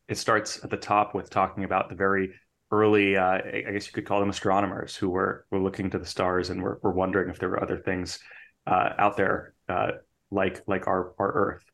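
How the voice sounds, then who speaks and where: A man's voice: low-pitched, a bit bright. A young man hosting an interview podcast, talking hesitantly with lots of restarts and fillers. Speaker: podcast interviewer, assistant editor.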